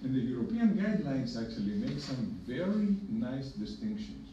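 A middle-aged man from Greece with a Greek accent, speaking on a conference panel as a hepatologist, measured and emphatic with dry humor. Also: medium pitch, very animated, recorded from a distance. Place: conference room with projector. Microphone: podium mic, filmed from far in the room. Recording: echoey room from afar, dull and noisy.

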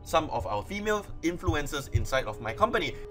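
A man with a Malaysian accent giving a webinar sales pitch as an online business coach. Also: big pitch swings, a little fast, over music.

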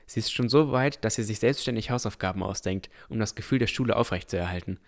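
A man playing an earnest, pensive village boy of sixteen, narrating a fantasy audio drama in a reflective, deliberate way. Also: lowish voice, lightly acted.

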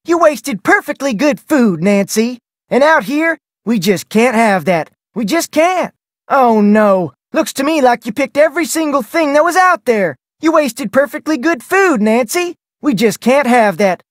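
A folksy Western ranch cook for a mystery game. The delivery swings up and down in pitch, full of homespun phrasing, on a dry studio recording. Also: lively intonation, unhurried, no room sound. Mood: scolding. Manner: folksy, colloquial Western talk. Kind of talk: video game character voice lines.

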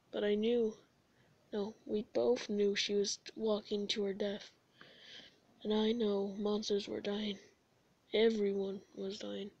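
A woman's voice: mid-range voice, breathy edge, no echo, voice pushed down. Comic-dub skeleton brother, female performer: sleepy, gloomy, hesitant, sighing, close and dry.

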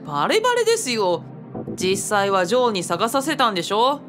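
An actress playing a wry, teasing, often exasperated companion to a spirit in a radio drama, with sardonic banter. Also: female voice, mid-range voice, wildly swooping pitch, fairly quick, faint music behind.

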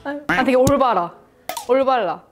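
A woman's voice: high voice, animated, moderate pace. A woman in her twenties chatting casually as a graduate student panelist. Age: 20s. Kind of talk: casual chat. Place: large indoor lounge with bean bags. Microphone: clip-on lapel mic. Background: light background music.

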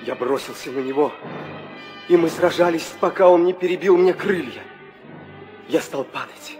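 A man's voice: fairly high pitch, moderate pace, lightly acted. An actor playing a wounded, loyal, dying king of eagles in a 1960s audio drama. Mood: failing. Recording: old studio recording under loud music.

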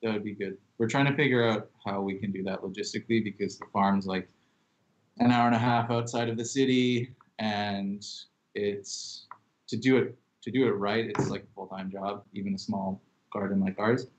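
A young man, a chef, giving calm, measured answers in a casual interview over a video call. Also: on the low side. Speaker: chef and restaurant co-owner. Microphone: laptop webcam on video call.

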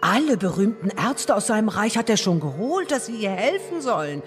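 A woman's voice: mid-range voice, very animated, moderate pace, faint music behind, natural voice. An actress playing a concerned, gossiping woman of the royal court in a fairy-tale audio play. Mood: worried.

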